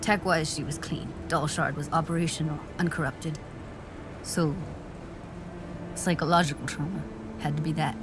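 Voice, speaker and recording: A woman acting as a shaken young techie in a video game. Her voice is fairly low, clipped and matter-of-fact, amid clearly noisy ambience. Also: steady tone, moderate pace, pauses often, close to an everyday voice. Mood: grim. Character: shaken, tech-savvy young woman reporting grim findings.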